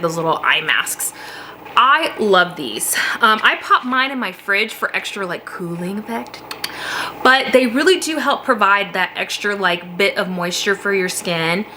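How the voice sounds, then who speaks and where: A woman's voice: mid-range voice, pushed and bright, a little hiss or hum. A woman with a slightly sniffly voice from a cold, chatting casually to camera from her basement office, rambling and laughing as she talks. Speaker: beauty vlogger.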